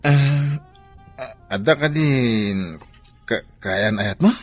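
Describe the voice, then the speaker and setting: A man's voice: mid-range voice, lively intonation, extremely slow, faint music behind. A man acting in an Ilocano radio drama as an inquisitive boss who coaxes and persists. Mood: coaxing.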